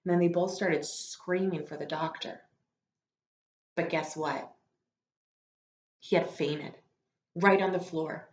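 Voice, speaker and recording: A woman reading a story aloud like a teacher to students, expressive and informal, with casual asides. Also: lowish voice, slow, long pauses, slight background noise. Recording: echoey room, slightly dull lo-fi sound.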